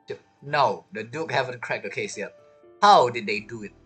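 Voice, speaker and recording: A woman with a husky voice giving casual, reactive, questioning commentary as she plays a game. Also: rumbling low, animated, moderate pace, fairly bright tone, soft background music. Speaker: game streamer.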